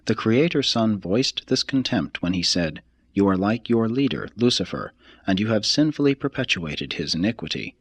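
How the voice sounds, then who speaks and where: A man reads a religious text aloud as scripted narration, in a husky voice with a measured, formal and deliberate delivery. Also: fairly low pitch, expressive, no room sound. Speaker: audiobook reader.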